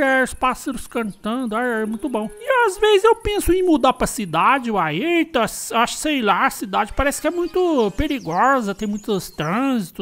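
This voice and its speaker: An actor playing an excitable, simple country farmer in a cartoon, with a rural Brazilian caipira accent, exclaiming and rambling in a high put-on voice. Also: full character voice. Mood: contented, musing. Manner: exclamatory, rambling, repeats himself. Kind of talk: animated cartoon monologue.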